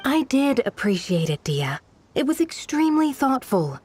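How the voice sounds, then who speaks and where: An actress playing a dutiful, composed female village guardian in a video game dub, speaking in a measured, proper and earnest way. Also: high voice, very animated, a little mellow, slight background noise.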